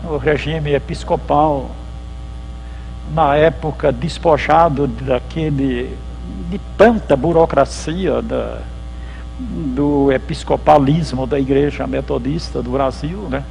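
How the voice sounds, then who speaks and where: Gravelly pastor lecturing. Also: male voice, a little high, slow pace, a little mellow, slight background noise, low-quality recording. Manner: conversational storytelling, acts out dialogues. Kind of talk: church history lecture. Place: lecture hall at a theological symposium.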